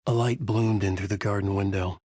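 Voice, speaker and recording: A man voicing a brooding, haunted crime novelist from a horror video game, narrating in a grave, intense, deliberate noir style with a husky voice. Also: on the low side, steady tone, darkish tone, no echo, clean studio sound. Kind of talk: noir scripted narration and character lines.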